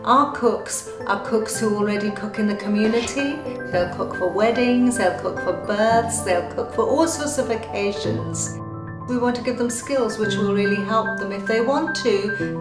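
A woman's voice: mid-range voice, normal speed. A middle-aged woman speaking in a promotional video about her community project. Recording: echoey, loud marimba music behind. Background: loud mallet-percussion music.

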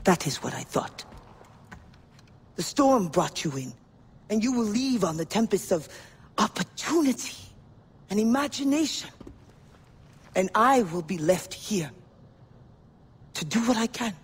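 Game role: shrewd, proud woman, extremely husky and breathy, measured delivery, long reverberant hall sound. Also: mid-range voice, very animated, exaggerated voice. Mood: measured, reflective. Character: proud, cautious, world-weary woman, a shrewd informant. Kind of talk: dramatic video game acting.

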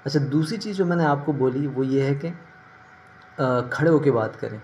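A middle-aged man explains things informally to the camera at a medium pitch. He speaks in measured phrases with pauses, picked up by a phone in a small room with faint ambient noise behind him. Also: a little mellow. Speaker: career advice vlogger. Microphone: phone at arm's length. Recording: noticeable ambient background noise.

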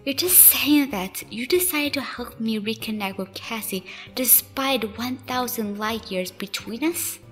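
Woman acting a high-voiced, tender rancher woman. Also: measured pace, hardly any pauses, a bit bright, long reverb tail, faint music behind. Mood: questioning. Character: tender, sincere female rancher in love. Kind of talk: fan radio drama acting.

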